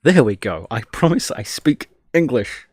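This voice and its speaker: A man's voice: mid-range voice, very animated, a little slow, a little mellow, no echo, clean studio sound. A man casually thinking aloud on a live tech stream, jokey and self-deprecating, muttering each step as he works.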